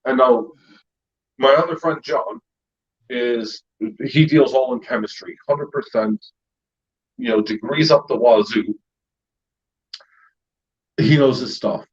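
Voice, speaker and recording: A man's voice: medium pitch, slow pace, pauses often, slightly rough, muffled recording. A middle-aged American man, a retired paramedic, hosting a casual live stream and rambling with fillers and laughs. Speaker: YouTube channel host.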